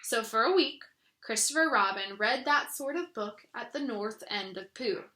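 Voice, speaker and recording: A woman reading a children's story aloud in an expressive way, with chatty asides to her listeners. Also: fairly high pitch, normal speed. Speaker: read-aloud host. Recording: roomy echo.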